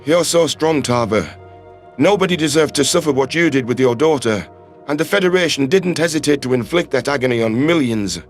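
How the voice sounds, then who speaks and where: A man voicing a gentle, supportive male human ambassador in a science fiction audio drama, with an earnest, caring manner. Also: medium pitch, expressive, fairly quick, soft background music, lightly acted. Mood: tender, sympathetic.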